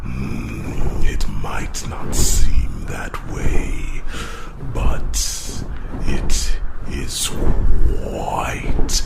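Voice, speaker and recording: A man voicing an ancient, weary male dragon in an ASMR fantasy roleplay, solemn, formal and protective, with a gravelly, breathy growl. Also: rumbling low, steady tone, very noisy, full character voice. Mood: weary, grumbling. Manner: slow, solemn, formal, halting phrases.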